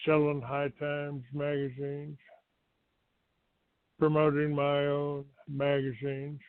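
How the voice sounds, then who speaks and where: An older American man from Texas hosting a talk show, giving a rambling, halting monologue to his listeners. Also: a little high, monotone, smooth. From Texas, United States. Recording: roomy, tinny phone-like line.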